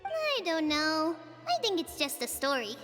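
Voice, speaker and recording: A woman's voice: high voice, huge pitch swings, a little slow, in a large hall, over music, heavily acted. A woman voicing an earnest little child in a video game, eagerly telling stories in a matter-of-fact way. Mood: suspicious, candid. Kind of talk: English video game voice acting.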